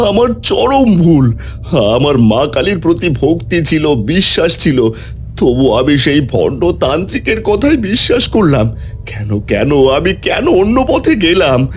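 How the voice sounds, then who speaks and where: Man acting a frightened father, animated and anguished. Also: fairly high pitch, pitched above the performer's own voice. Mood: anguished remorse. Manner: anguished, hesitant, stammering. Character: frightened, remorseful father, a wealthy householder. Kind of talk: dramatic cartoon story acting.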